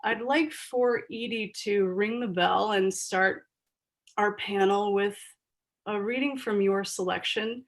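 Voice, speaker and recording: A woman's voice: mid-range voice, slow pace. A woman moderating an online literary panel over a video call. She gives earnest, gushing introductions full of ums.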